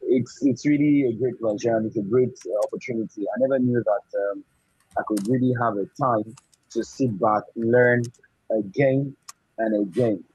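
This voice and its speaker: A Nigerian-accented man with a husky, mid-pitched voice speaks effusively and earnestly, often repeating his phrases. It is an informal thank-you speech over a dull online call. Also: normal speed, darkish tone. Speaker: graduating course student. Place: indoors, online video call. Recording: muffled online call, echoey room.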